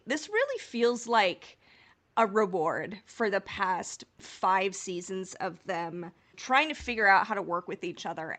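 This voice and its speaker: A woman's voice: mid-range voice, fairly bright tone. A woman co-hosting a podcast in casual fan discussion, speaking in an earnest, enthusiastic way. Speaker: podcast host.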